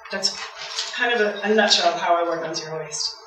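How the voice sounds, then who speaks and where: Woman, soft voice, chatty public talk, distant, very echoey hall, music behind. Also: lowish voice, a little fast. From Canada. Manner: chatty, eager, laughs often. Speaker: waste campaigner giving a public talk. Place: event hall.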